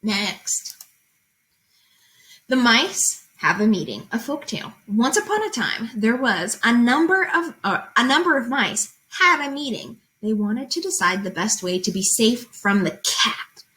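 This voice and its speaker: A woman's voice: fairly high pitch, very animated, a little slow, sharp tone, poor audio. A woman reading fables aloud and explaining them as a teacher, in an informal, conversational way with guiding questions.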